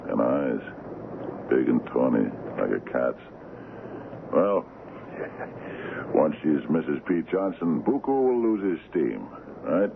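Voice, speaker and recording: Gruff middle-aged sea captain, extremely low voice, folksy, 1970s radio drama, noisy narrow-band broadcast. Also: male voice, lively intonation, slow pace, long pauses, full character voice. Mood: confiding, insistent. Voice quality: gravelly. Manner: folksy, repeats himself. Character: easygoing forty-year-old sea captain, smitten and gruff.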